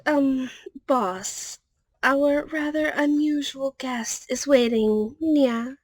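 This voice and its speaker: A woman's voice: fairly high pitch, no room sound, low-quality recording. A woman playing a friendly, polite catgirl secretary in an ASMR roleplay, chatty, ending sentences with a little meowing tic. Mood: polite, exasperated.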